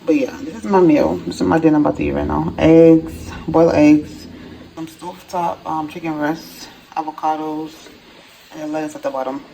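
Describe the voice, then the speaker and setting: A woman's voice: low voice, moderate pace, creaky, a little hiss or hum, rough recording. A woman, chatting casually to her viewers at home. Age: 30s. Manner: chatty and animated, laughs often, talks to viewers directly. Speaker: vlogger. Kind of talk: casual vlog chat to camera. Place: at home in an apartment.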